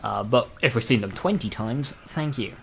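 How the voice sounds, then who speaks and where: A man's voice: mid-range voice, normal speed, vocal fry, slight background noise. A man talking casually through game news as a video creator, informal and dropping dry, joking asides. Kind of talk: casual gaming news commentary.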